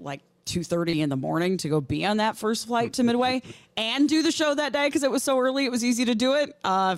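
A woman's voice: medium pitch, dry recording. American woman hosting talk radio, dry studio sound. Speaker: radio show host.

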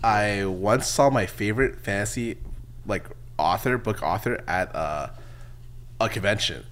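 A man's voice: fairly low pitch, unhurried, fairly bright tone, slight background noise. A man in his thirties co-hosting a podcast, joking around and playfully imitating what other people say. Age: 30s. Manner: joking, mock-quoting others. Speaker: podcast co-host. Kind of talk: casual chat.

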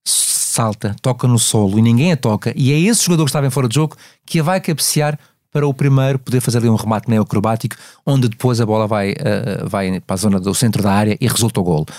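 A man's voice: mid-range voice, a little mellow, no room sound, studio quality. A man with a European Portuguese accent analysing refereeing decisions conversationally, didactic, posing and answering his own questions.

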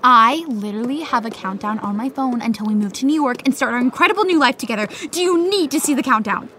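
A woman's voice: on the high side, quick pace, fairly bright tone, slight background noise, natural voice. A woman playing a teasing, pushy but supportive teenage best friend in naturalistic podcast drama, speaking emphatically. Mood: pressing. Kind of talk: naturalistic fiction podcast acting.

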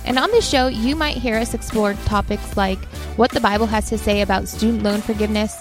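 A woman's voice: mid-range voice, fairly quick. A woman co-host reading a scripted promo for a podcast. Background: music.

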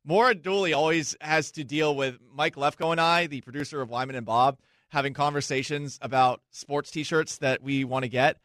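A man's voice: fairly high pitch, moderate pace, fairly bright tone, no echo, clean studio sound. A young man hosting sports talk radio in a chatty, self-mocking way.

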